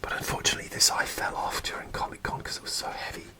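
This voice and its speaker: A man telling a story in a soft, rambling ASMR whisper with many pauses and hesitations, recorded close to the microphone. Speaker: ASMR creator.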